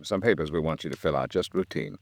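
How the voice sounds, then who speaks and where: An actor playing a weary, guarded, formal hospital doctor in a 1950s radio drama, speaking in a measured, reticent way. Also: male voice, a bass voice, gravelly, no room sound.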